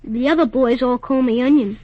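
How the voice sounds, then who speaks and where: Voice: high voice, brisk, dry recording. An actor playing a polite, earnest young boy looking for a lost dog in a 1940s radio drama. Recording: dull vintage 1940s radio recording.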